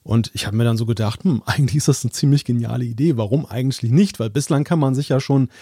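A man co-hosting a podcast, talking casually and explaining things in a relaxed way. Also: medium pitch, fast, slightly rough, dry recording, studio quality. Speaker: podcast co-host. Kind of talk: casual chat.

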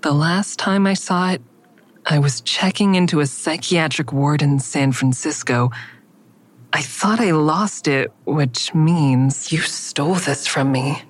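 A woman's voice: low-pitched, slow. An actress playing an anxious, guarded woman who narrates her own interrogation in an audio drama, restrained and introspective. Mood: guarded, tense. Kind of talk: first-person audio drama narration and dialogue.

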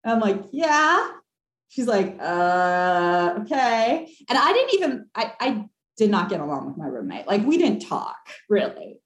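A young woman telling a ghost story on a podcast call, animated and laughing, with lots of fillers. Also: medium pitch, a little slow. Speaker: caller. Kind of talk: casual storytelling. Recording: echoey room.

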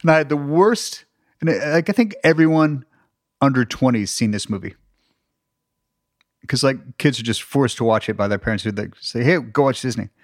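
A man's voice: mid-range voice, normal speed, long pauses, no room sound, studio quality. A man in his forties co-hosting a podcast, chatting casually with playful, self-mocking humor. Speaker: podcast co-host, actor. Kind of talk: casual chat.

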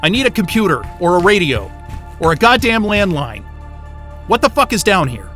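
Casting: irate male astrophysicist, sci-fi radio play. Sharp, high-pitched, sarcastic, swears freely. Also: sing-song, normal speed, soft background music, heavily acted. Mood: furious. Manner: exasperated, sweary, incredulous. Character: angry, sarcastic, foul-mouthed male astrophysicist. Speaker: astrophysicist character in audio drama. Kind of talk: naturalistic sci-fi audio drama acting.

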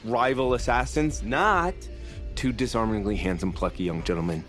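An actor playing a cocky, dim young schemer in a comedic audio drama, breezy and slangy. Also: male voice, medium pitch, moderate pace, a bit bright, soft background music, close to an everyday voice. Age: young adult. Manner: breezy, self-assured, slangy.

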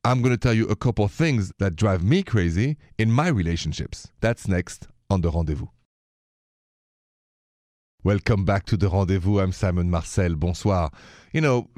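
A man with a French accent and a fairly low voice hosts a relationship call-in show. He talks conversationally and addresses the listener directly. The sound is dry, with very little room around the voice. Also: darkish tone, dry recording. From Paris, France. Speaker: radio host giving relationship advice. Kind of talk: conversational call-in radio talk.

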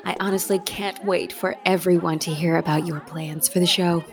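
A woman's voice: fairly low pitch, fairly even intonation, moderate pace, natural voice. An actress playing a teasing, sociable theatre woman in an audio comedy, chummy and insincerely sweet. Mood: arch, amused. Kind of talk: audio musical comedy acting.

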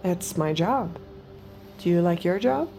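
An actress playing a guarded, detached young escort in an intimate audio drama, speaking in a cool, measured way. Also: female voice, lowish voice, animated, music in the background. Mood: guarded, reflective. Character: guarded, detached young woman escort hiding her true self. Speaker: lead character and narrator. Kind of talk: intimate audio drama acting with inner narration.

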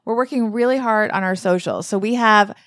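A woman's voice: mid-range voice, fairly quick, fairly bright tone, no room sound, clean studio sound. A woman in her forties hosting a podcast, chatting casually and giving upbeat wrap-ups. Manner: upbeat, plugging and summing up. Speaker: podcast host.

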